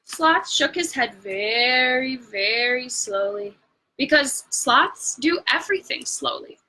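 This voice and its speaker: Bright, unhurried woman reading a bedtime story. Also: sits high, expressive, slight background noise, low-quality recording. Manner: draws out words for emphasis. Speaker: picture-book reader. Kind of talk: bedtime story read-aloud with asides to viewers.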